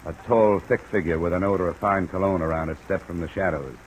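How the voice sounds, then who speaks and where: An actor playing a tough, wry American private investigator in an old radio noir drama, narrating and talking tersely. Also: male voice, low voice, fast talker. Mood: wry, grim. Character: hardboiled male private investigator, dogged and dry. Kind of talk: 1950s radio noir acting and first-person narration. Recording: noisy old radio recording, steady hiss.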